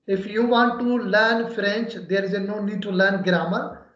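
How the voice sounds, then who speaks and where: A man whose first language is Urdu, an English teacher, explaining in an earnest way with many pauses and fillers. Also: high voice, steady tone, fairly bright tone. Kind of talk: casual conversation practice. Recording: echoey room, dull lo-fi audio.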